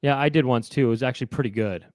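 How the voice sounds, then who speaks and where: A man's voice: mid-range voice, racing, darkish tone, dry recording. A man co-hosting a casual chat show, joking and rambling with lots of restarts. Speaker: co-host.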